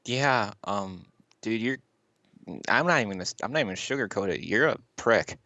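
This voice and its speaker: A man's voice: on the low side, lively intonation, normal speed, sharp tone, no echo, highs cut off. A man co-hosting a podcast, reacting to news in casual chat with a blunt, profane manner. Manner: blunt, profane, exasperated interjections. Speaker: podcast co-host.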